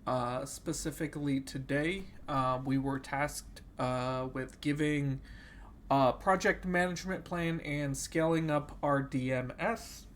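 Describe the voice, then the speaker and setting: Young male student, under the weather and nasal, a touch higher pitched, hesitant delivery full of fillers. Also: slow, a little hiss or hum. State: sick, slightly nasal. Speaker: student presenting an assignment. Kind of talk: casual class presentation.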